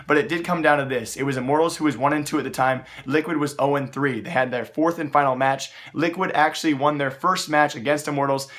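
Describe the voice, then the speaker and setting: A hoarse young man hosting an esports news show, talking through the stories in a quick, rambling way. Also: medium pitch, a bit bright. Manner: rapid, rambling, many fillers. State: losing his voice, hoarse. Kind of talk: fast news commentary.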